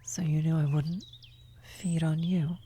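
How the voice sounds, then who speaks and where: A woman playing a worried, tender vampire sorceress in an ASMR fantasy roleplay, speaking softly and haltingly, often near a whisper. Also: deep voice, level tone. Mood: surprised, uneasy. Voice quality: soft, breathy, often slipping into whisper. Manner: halting, hesitant, gentle. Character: worried female vampire blood sorcerer, tender, guilty lover. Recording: outdoor birdsong and ambience behind. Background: birdsong, nature ambience effects.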